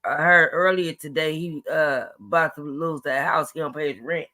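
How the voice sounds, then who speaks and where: Raspy-voiced woman caller, blunt. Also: deep voice, normal speed, pushed and bright. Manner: blunt, opinionated slang-heavy talk. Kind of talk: casual phone-in chat.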